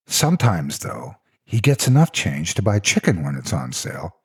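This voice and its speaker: A man tells a scripted story with theatrical, mock-serious delivery. His voice sits fairly low with a husky, rough grain, and it rises and falls in big animated sweeps. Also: moderate pace. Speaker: narrator of horror stories.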